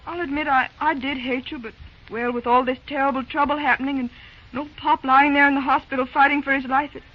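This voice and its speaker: Proud, genteel young woman played by an actress, old radio serial, bright high tone. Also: level tone, a little fast, close to an everyday voice. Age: young adult. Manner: genteel, proper. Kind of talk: 1930s radio drama acting. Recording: dull noisy old radio recording.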